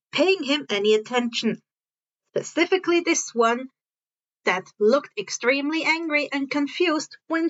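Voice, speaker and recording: A woman with a high voice narrates fan fiction from a script. She now and then trips on a phrase and reads it again. The home recording is a little dull and lo-fi. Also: fairly bright tone. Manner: stumbles and rereads phrases. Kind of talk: amateur scripted story narration.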